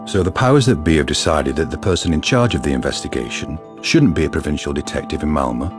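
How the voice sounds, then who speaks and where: A man's voice: very deep voice, lively intonation, fast talker. A man narrating a crime story in a composed, scripted radio drama style. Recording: music under the narration.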